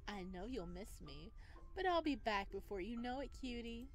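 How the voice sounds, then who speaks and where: A woman's voice: fairly high pitch, animated, normal speed, natural voice, low-quality recording. A woman voicing a playful, flirtatious and possessive male dream being from a visual novel, with teasing endearments. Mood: teasing, doting. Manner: teasing, doting, theatrical endearments. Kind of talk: visual novel character read-aloud. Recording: background music behind voice.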